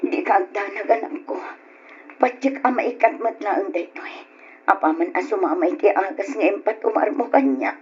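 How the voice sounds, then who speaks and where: A woman's voice: medium pitch, moderate pace, breathy edge. A woman playing a loving, apologetic, ailing middle-aged mother in an old radio drama, with an Ilocano accent. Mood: soothing, apologetic. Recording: dull noisy old radio recording.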